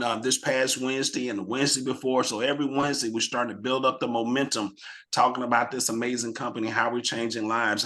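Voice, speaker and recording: A slightly hoarse man hosting a team video call, rallying the group with questions in an energetic, motivational way. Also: medium pitch. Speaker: team leader hosting a real estate mastermind call.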